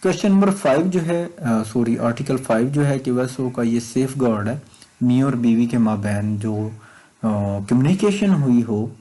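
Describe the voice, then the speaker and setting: A man's voice: mid-range voice, normal speed, dark tone, rough recording. A man with a Pakistani accent lecturing in a methodical way, reading questions aloud and explaining the answers. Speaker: law exam lecturer.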